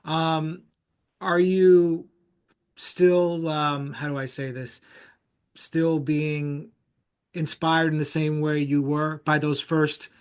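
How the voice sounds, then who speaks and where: Halting podcast host, man. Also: fairly high pitch, steady tone, smooth. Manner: halting questions full of "you know" and restarts. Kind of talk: casual podcast interview.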